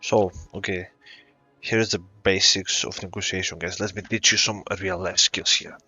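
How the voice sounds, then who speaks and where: A man's voice: deep voice, fairly even intonation, normal speed, bright, forceful tone, slightly rough, soft background music. A man giving casual game commentary as a streamer, joking and sardonic. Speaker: gaming streamer. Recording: dull, echoey room recording.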